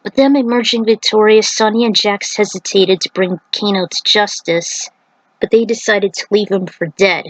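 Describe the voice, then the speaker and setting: A woman's voice: medium pitch, steady tone, normal speed, no echo, poor audio. A woman narrating a scripted story, occasionally stumbling over words as she reads. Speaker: narrator reading own fan fiction.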